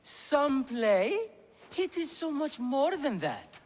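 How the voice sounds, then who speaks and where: An actor playing a proud, theatrical playwright in a video game, with a self-important delivery. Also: male voice, extremely high pitch, sing-song, slow, heavily acted. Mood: indignant, proud. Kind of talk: video game cutscene acting. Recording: long hall reverb.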